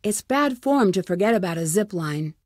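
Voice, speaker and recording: A woman's voice: medium pitch, expressive, moderate pace, no room sound, close to an everyday voice. Actress as inquisitive young female sleuth for an adventure game: earnest, plucky musing to herself, close dry sound. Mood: musing, wry. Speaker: lead character in a detective adventure game. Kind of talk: scripted game dialogue, thinking aloud.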